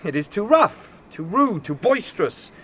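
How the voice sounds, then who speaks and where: Mopey young shakespeare lover, high voice, very animated pitch, poetic. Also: male voice, a little slow, slightly rough, full character voice. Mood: lovesick gloom. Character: melancholy, lovesick young nobleman, brooding and poetic. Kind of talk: Shakespearean audio drama acting.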